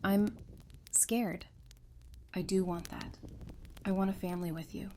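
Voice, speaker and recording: A woman's voice: mid-range voice, steady tone, a little slow, darkish tone, slight background noise, natural voice. A woman playing a tender but determined wife in an apocalyptic audio drama, earnest and plainspoken. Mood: scared, tender. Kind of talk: full-cast audio drama acting.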